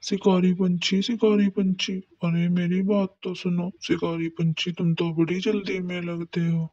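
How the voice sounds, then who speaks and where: A woman's voice: lowish voice, level tone, a little slow, warm tone, pitched below the performer's own voice, close to an everyday voice. A woman voicing an anxious male crow who warns others in an urgent tone. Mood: calling out. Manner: urgent, warning. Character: friendly male crow, hurried and worried. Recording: dull, muffled, from off-mic.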